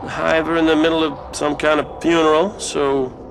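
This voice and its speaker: An actor playing a roguish android scout commander in a video game, breezy and sardonic as he hands out brisk orders. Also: a little high, little pitch movement, gravelly, background noise. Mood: briskly instructing. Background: loud music.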